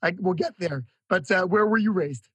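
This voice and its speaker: A man's voice: high voice, normal speed, a bit bright, slightly rough. A man hosting an interview podcast, presenting and asking questions in polished, effusive phrasing. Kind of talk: scripted show introduction and interview questions.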